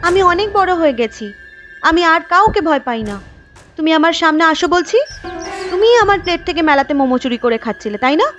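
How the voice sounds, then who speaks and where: A woman's voice: extremely high pitch, very animated, brisk, a bit bright, lo-fi sound, soft background music, full character voice. A woman voicing a bold young village woman in a cartoon, who speaks firmly and scolds. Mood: challenging. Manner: firm, scolding. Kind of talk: cartoon voice acting.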